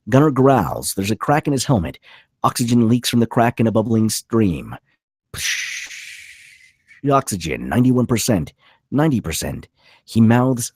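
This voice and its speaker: Male narrator for a screenplay read: low husky voice, very dynamic pitch, punchy clipped delivery. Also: a little mellow, no echo. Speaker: narrator reading stage directions. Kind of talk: dramatic scripted narration.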